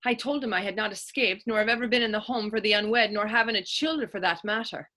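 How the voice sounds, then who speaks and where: A woman's voice: medium pitch, fast talker, sharp tone, close to an everyday voice. A woman playing a tough, proud teenage Irish orphan turned actress in a readers theatre drama, telling her story in lilting old-fashioned idiom with an Irish accent. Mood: indignant storytelling. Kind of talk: stage readers theatre acting in dialect.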